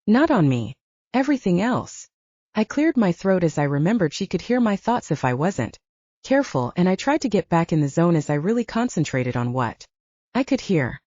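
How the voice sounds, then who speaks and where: Mellow woman narrating fiction, dull recording. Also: lowish voice, very animated, a little fast, no room sound. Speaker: audiobook narrator. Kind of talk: scripted first-person fiction narration.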